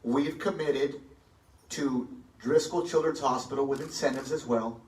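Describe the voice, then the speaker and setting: A man's voice: medium pitch, fairly even intonation, measured pace, darkish tone. A man running for mayor, answering a forum question in a confident, emphatic way on a stage in a large hall. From Texas. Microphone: handheld mic, filmed from far back. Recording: echoey hall, far from the phone.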